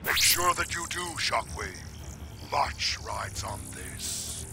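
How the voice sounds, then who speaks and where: An actor playing a stern, menacing robot warlord in a video game, gravelly, imperious and deliberate. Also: male voice, medium pitch, big pitch swings, full character voice. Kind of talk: video game cutscene voice acting. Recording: loud music over voice.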